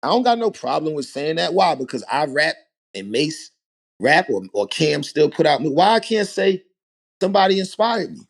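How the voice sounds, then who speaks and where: A man, a rapper telling stories as a podcast guest in a relaxed, animated way. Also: on the high side, moderate pace, gravelly. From Harlem, New York. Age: 40s. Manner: animated storytelling, rhetorical asides. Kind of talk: casual podcast conversation.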